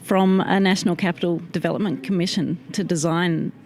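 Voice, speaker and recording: Australian woman, low-pitched and chatty. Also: fairly even intonation, a little fast, a little mellow. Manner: enthusiastic, explanatory storytelling. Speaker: guest. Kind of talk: casual interview chat.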